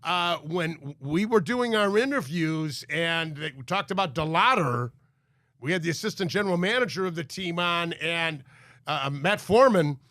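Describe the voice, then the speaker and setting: A man hosting a sports talk show, congested from a cold, talking in an energetic, emphatic way. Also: a little high, moderate pace, no room sound, studio quality. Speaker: sports radio host.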